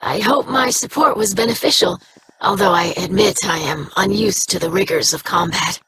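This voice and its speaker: A woman's voice: medium pitch, moderate pace, background noise, lightly acted. An actress playing an earnest young female alien scholar who speaks in stiff, precise, formal diction.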